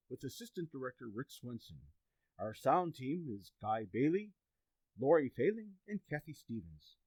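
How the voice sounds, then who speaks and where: A male radio announcer delivers scripted credits in a very dry recording. His intonation is lively and varied, with a slightly husky tone. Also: mid-range voice, no room sound, poor audio.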